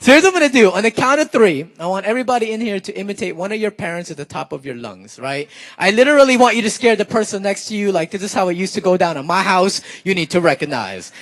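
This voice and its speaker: A man's voice: high-pitched, lively intonation, fast, a bit bright, dry recording. A young man doing stand-up comedy for a live crowd, chatty and playful, laughing and talking with the audience. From Philippines, raised in California. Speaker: stand-up comedian and spoken word performer.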